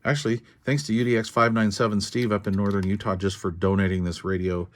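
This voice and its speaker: An older American man casually narrating a hands-on repair in a folksy, unhurried way, thinking aloud as he goes. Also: lowish voice, steady tone. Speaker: radio repair video host.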